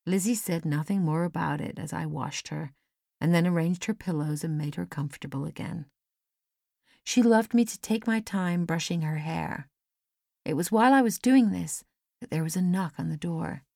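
A woman's voice: lowish voice, normal speed, gravelly, darkish tone, dry recording, clean studio sound. A woman reading a first-person story as scripted audiobook narration. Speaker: audiobook reader. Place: dry studio.

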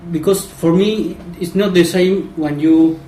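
Halting man, far from the mic. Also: high-pitched, fairly even intonation, dark tone, echoey room, slight background noise. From Argentina. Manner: halting, many fillers and restarts. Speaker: podcast guest. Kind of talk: casual football podcast chat.

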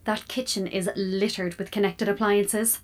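A woman's voice: mid-range voice, steady tone, measured pace, close to an everyday voice. A woman playing an opinionated, witty talking fridge in an audio drama, with a wry, arch manner.

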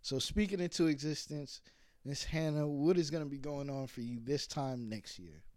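A man hosting a podcast in a relaxed, chatty way and laughing easily with his guest. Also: fairly high pitch, normal speed, dry recording, clean studio sound. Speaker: podcast host. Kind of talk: casual interview chat.

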